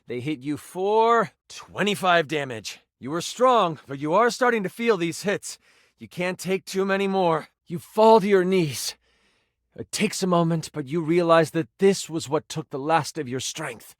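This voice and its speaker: An actor playing an enthusiastic game master, a personified die who narrates tabletop fantasy with theatrical expression and savored suspense. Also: male voice, on the high side, unhurried, no echo, studio quality, lightly acted. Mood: grave, dramatic.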